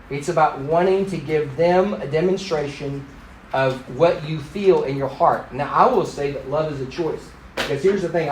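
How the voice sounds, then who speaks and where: Man, 50s, raised in Thailand, church class teacher, joking and animated, raised pitch, echoey room. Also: slight background noise.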